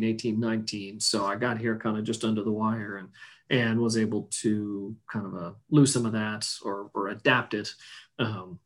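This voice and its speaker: A man in his forties from Iceland with no accent left, a business owner chatting loosely as an interview guest, full of fillers and restarts. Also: fairly low pitch. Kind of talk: casual interview chat.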